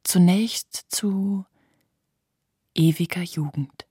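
Woman reading slowly, almost all whisper, really breathy and lowish, dry studio. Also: dark tone, dry recording. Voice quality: breathy, whispery, largely unvoiced. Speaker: narrator reading literary prose. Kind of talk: scripted literary narration for radio drama.

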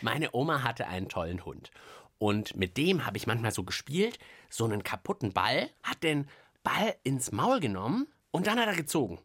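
Animated man bantering on a kids' podcast. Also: mid-range voice, moderate pace, breathy edge. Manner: playful, exclaiming. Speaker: podcast co-host. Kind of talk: casual children's podcast banter.

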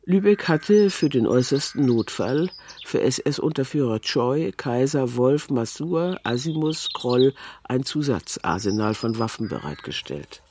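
A man's voice: medium pitch, expressive, unhurried, a little mellow, slight background noise. A man reading a scripted literary documentary narration in a sober, factual manner. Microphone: close studio microphone, dry.

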